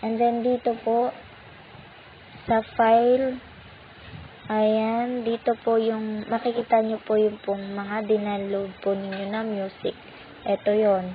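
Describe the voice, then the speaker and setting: A woman's voice: fairly high pitch, level tone, slow, long pauses. A woman speaking with a Filipino accent, walking viewers through a phone app tutorial step by step in a polite, patient way. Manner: polite, patient, uses many "po" courtesy markers. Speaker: tutorial channel host. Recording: tinny phone-like audio with faint noise.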